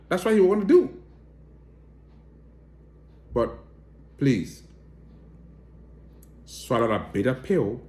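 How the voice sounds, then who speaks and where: A man's voice: medium pitch, roomy sound. A man with a Liberian accent giving direct, emphatic political commentary and appealing to viewers. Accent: Liberian English.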